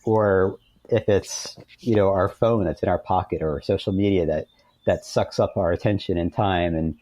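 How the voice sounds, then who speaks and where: Male author guest, very low and soft-edged voice, thoughtful conversational delivery. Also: normal speed, dry recording, low-quality recording. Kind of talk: reflective conversational interview.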